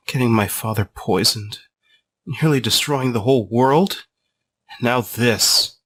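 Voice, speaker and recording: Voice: mid-range voice, fairly bright tone. An actor playing a moody, guilt-ridden teenage monster prince in an amateur comic dub, hesitant and brooding.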